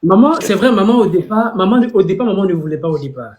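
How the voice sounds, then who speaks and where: A man's voice: high voice, expressive, fast, a little mellow. A man talking earnestly as an interview guest, often repeating phrases to stress his points. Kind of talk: interview over a call.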